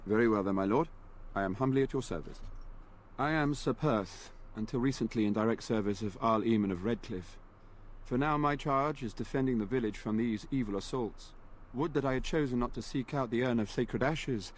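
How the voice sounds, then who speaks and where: An actor playing an earnest, dutiful knight commander who speaks in formal, courtly phrases. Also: male voice, mid-range voice, fairly quick, a little hiss or hum, lightly acted. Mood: grave. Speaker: game character. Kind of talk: video game voice acting. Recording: game audio with faint ambience.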